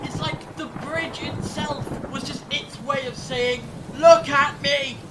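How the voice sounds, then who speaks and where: An actor playing a clever, grave time-travelling adventurer in a fan audio drama, explaining things in a measured, dramatic way. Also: fairly even intonation. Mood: intent, reasoning. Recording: distant mic, rumbling storm-like noise.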